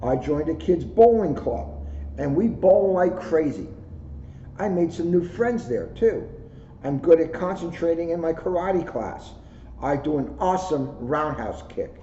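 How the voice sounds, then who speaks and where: Male police officer, storybook read-aloud. Soft-edged mellow tone, average pitch, jokey delivery, echoey room with faint noise. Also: expressive, a little slow. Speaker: police officer reading a children's book aloud. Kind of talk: children's book read-aloud with casual asides. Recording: roomy echo, muffled audio, faint noise.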